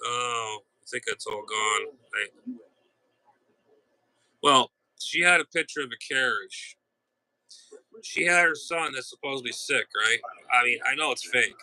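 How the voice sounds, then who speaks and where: A man with a very bright, hard-edged voice at an average male pitch rambles informally to his viewers. He sounds aggravated and blunt, repeating himself and stopping mid-sentence as he tells his story. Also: unhurried, pauses often, slight background noise, low-quality recording. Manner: exasperated, blunt, mildly profane. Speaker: amateur radio hobbyist streamer.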